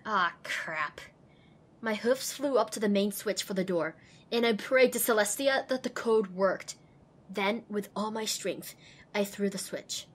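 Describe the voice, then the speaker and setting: A woman's voice: medium pitch, measured pace, a little hiss or hum, natural voice. A woman voicing a young, determined and wry female pony who narrates her own adventure in an audio drama. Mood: wry, tense. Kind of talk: scripted first-person narration in audio drama.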